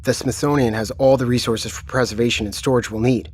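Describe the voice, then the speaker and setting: Composed military commander, played by a man, measured, mid pitch. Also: slightly rough, close to an everyday voice. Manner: calm, measured, matter-of-fact. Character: calm, commanding male military chief. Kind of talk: audio drama acting.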